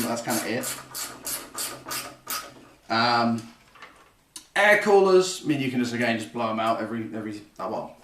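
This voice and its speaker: A young man chatting casually to his stream viewers as he builds a computer, rambling and correcting himself as he goes. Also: medium pitch. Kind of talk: casual live-stream chat. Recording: roomy, slightly distant, faint noise.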